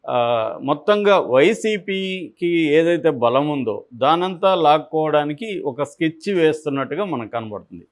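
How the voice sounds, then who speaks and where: A man giving unscripted political analysis as a commentator, explaining conversationally. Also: a little high.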